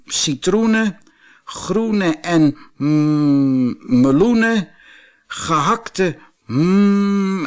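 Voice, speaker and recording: A man's voice: high-pitched, pitched above the performer's own voice, heavily acted. A man voicing an earnest, plucky young boy who is learning magic, in a storybook reading. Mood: hesitant, concentrating.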